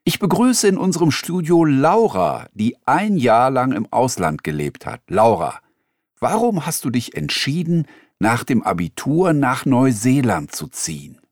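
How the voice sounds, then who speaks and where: A man in a studio, reading interview questions in a scripted exam dialogue in a clear, announcing manner. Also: medium pitch, sing-song, a little slow, a little mellow, no echo.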